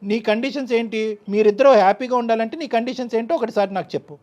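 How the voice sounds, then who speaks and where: Female counselor, rapid delivery, admonishing and wordy, mediating off the cuff. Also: on the high side, a little mellow, lo-fi sound. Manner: admonishing, emphatic, wordy with rhetorical questions. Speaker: counselor mediating a couple's dispute. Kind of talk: spontaneous counseling talk on a show.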